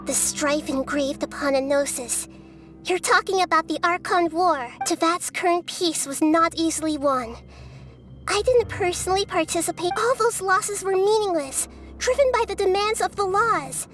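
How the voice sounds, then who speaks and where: A woman playing a small young goddess of wisdom in a video game, calm, measured and analytical, with a childlike voice. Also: extremely high pitch, over music. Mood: calm, solemn.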